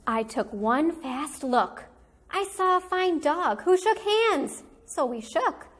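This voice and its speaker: A woman teacher reading a children's picture book aloud in an animated, deliberate storytelling manner for young listeners. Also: sits high.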